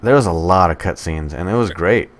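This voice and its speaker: A man's voice: low voice, a little fast. A man co-hosting a podcast, bantering casually and informally with the odd swear word. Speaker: podcast co-host.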